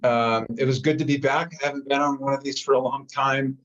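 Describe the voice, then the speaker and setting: A man giving casual, halting closing remarks as a guest in an online presentation. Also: mid-range voice, steady tone, a bit bright, muffled recording. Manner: casual, hesitant with fillers.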